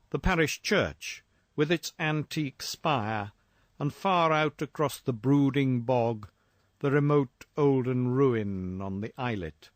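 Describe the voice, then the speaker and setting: Male narrator for gothic horror fiction: unhurried, literary delivery, mid-pitched, dry recording. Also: no echo. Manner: measured, literary storytelling.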